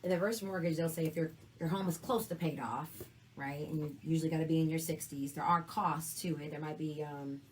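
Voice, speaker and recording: A raspy, very low-pitched woman speaks as a financial advisor guest on a talk show. She explains things in plain terms and keeps checking in with her listener, with faint room ambience behind her. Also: fairly even intonation, a little fast, a little hiss or hum, low-quality recording. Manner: explains with frequent "right?" check-ins.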